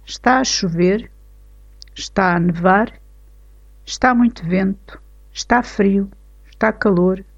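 A woman with a European Portuguese accent reading example sentences aloud in a slow, careful, clearly articulated way for a language lesson. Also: mid-range voice. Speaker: language teacher. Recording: slightly dull lo-fi recording.

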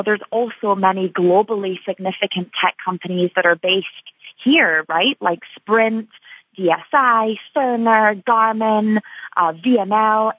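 Seorang perempuan beraksen Skotlandia berbicara ceria dan santai dalam wawancara radio, dengan banyak jeda "um" dan "uh". Suaranya kering dan dekat, tanpa gema ruangan. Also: female voice, medium pitch, a bit bright, no room sound, rough recording. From Scotland. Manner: upbeat, chatty, many fillers. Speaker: tech company CEO, guest. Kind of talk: radio interview answers.

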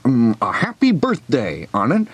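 A man voicing an earnest, befuddled storybook bear with a husky voice and a halting, musing manner. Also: medium pitch, huge pitch swings, no room sound, muffled recording, heavily acted. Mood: hopeful, earnest.

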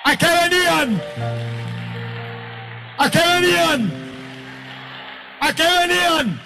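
Male preacher, bright tone, very slow measured delivery rising to shouts, long hall reverb with faint music. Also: very high-pitched, expressive, vocal fry. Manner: slow, building to fervent shouted repetition. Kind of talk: sermon to a congregation. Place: church hall with congregation.

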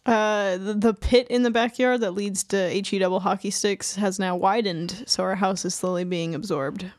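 A young adult woman co-hosting a podcast, chatting casually with deadpan, sarcastic jokes. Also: medium pitch, normal speed. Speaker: podcast co-host. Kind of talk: casual chat.

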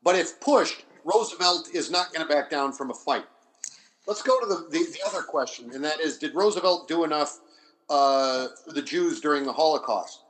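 Higher-voiced man, halting academic explainer. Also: expressive, a bit bright, rough recording. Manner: deliberate explaining with halting restarts and repeated phrases. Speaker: history scholar and panelist. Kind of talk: academic discussion, off the cuff.

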